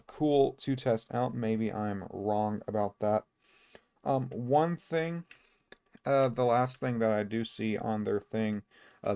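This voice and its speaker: Dude casually walking through a game, low voice with vocal fry, low-key. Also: male voice. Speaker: video game YouTuber. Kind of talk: casual game update commentary.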